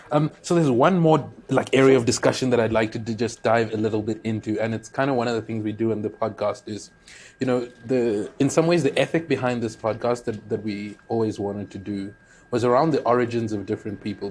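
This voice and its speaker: A man from South Africa hosting a podcast, asking questions in a relaxed, musing conversational way. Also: on the low side, brisk. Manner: relaxed, musing, frequent agreeing backchannel. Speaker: podcast host. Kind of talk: conversational interview. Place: conference.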